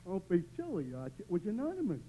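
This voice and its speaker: An actor playing a dim-witted, good-natured tavern pal in an old-time radio comedy. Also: male voice, high-pitched, wildly swooping pitch, quick pace, mellow. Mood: defensive. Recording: dull, noisy vintage radio recording.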